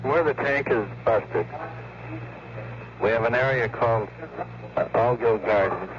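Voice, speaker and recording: A man's voice: medium pitch, measured pace, background noise. American fireman on muffled radio clip. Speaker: fire department official. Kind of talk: interview account, plain spoken. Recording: dull vintage radio news clip.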